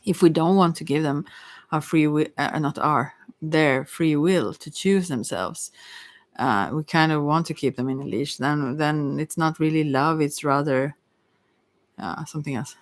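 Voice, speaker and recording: Low-voiced woman giving a soft tarot reading. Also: a little slow, no echo. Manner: soft-spoken, rambling, hesitant, giggly asides. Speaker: tarot reader and ASMR host. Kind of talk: casual ASMR tarot reading.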